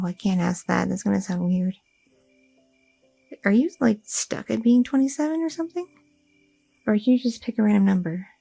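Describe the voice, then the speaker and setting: A young woman playing a loving, chatty goth girlfriend in an ASMR roleplay, talking softly and intimately with lots of fillers, close to the mic. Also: mid-range voice, vocal fry, slightly rough, no echo, heavily acted, poor audio. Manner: soft, intimate, rambling with many fillers.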